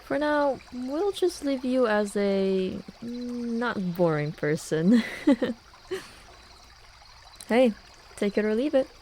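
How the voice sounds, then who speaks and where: A woman's voice: fairly high pitch, animated, slow pace, pauses often, darkish tone, a little hiss or hum. A young woman acting a playful, teasing student friend in a casual, intimate ASMR roleplay. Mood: amused, teasing. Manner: casual, teasing, with sighs and chuckles. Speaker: voice actor. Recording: running and trickling water behind voice. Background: hot spring water ambience.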